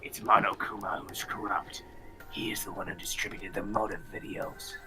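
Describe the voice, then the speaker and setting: A cool, rational male student, acted by a man, speaks in a lowish, detached voice. He stays measured while music plays behind him. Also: wildly swooping pitch, whispered. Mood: cool, hushed. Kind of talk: anime game fandub acting.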